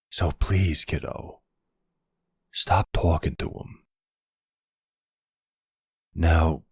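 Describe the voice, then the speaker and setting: A deep, gravelly middle-aged crime boss, played by a male actor in an intimate roleplay drama. He speaks in a measured, fatherly way, firm yet protective. The sound is close and dry. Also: darkish tone, dry recording, heavily acted. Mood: protective, serious. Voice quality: low gravelly husky. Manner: slow, measured, fatherly, streetwise. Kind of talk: ASMR roleplay audio drama.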